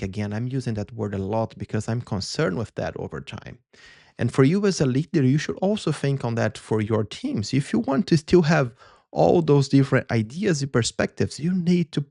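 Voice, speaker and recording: A Brazilian-accented man whose voice has a gravelly, fry-tinged edge. He hosts a solo talk in an earnest, reflective tone, pausing to find his words in a second language. The sound is dry and close. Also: medium pitch, a little mellow, dry recording. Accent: Brazilian Portuguese. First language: Portuguese. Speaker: podcast host.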